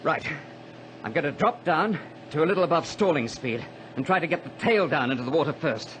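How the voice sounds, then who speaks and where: Capable pilot, high lively voice, keeping his head, old radio adventure, noisy recording. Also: brisk. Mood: controlled, reassuring. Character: capable male small-plane pilot fighting to stay calm. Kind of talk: radio adventure drama acting.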